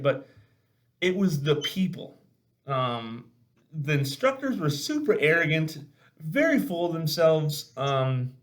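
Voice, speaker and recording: A man hosting a casual video chat, telling military stories in a blunt, salty, animated way and acting out the dialogue. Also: a little high. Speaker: podcast host and army veteran.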